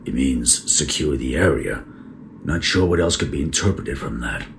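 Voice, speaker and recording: A man playing a stern, guarded captain of space troopers in an audio drama, with a gravelly voice. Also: extremely low pitch, expressive, moderate pace, fairly bright tone, a little hiss or hum, exaggerated voice. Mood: grave, confiding.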